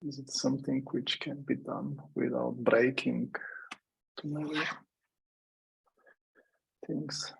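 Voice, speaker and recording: A man gives a casual technical update in a meeting. His voice is full of fry and rather husky. He speaks slowly, in halting phrases. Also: medium pitch, little pitch movement, low-quality recording. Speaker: working group member giving a status update. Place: online conference call.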